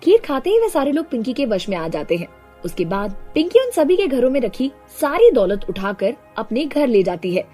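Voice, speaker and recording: A woman narrates a cartoon tale from a script. Her voice is high and lively in pitch, with a mellow tone and music underneath. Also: normal speed.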